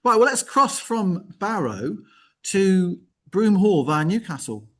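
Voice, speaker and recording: A man's voice: high-pitched, sing-song, slow pace. A man hosting an informal live interview in a conversational way, often rephrasing and listing as he goes. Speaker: host.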